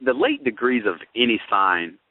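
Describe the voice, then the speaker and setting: A man's voice: mid-range voice, very animated, normal speed, dry recording. Man on a tinny phone line, folksy explainer. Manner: explanatory, folksy, addresses listeners with tag questions. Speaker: co-host, astrologer. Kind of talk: conversational astrology commentary. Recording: tinny, phone-like radio-show audio.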